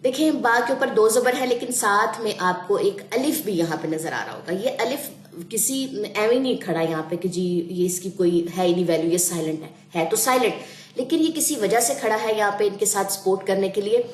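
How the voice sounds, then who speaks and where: A woman teaching a Quran reading class, explaining patiently and sounding out letters slowly. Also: mid-range voice, reverberant. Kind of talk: online class lesson.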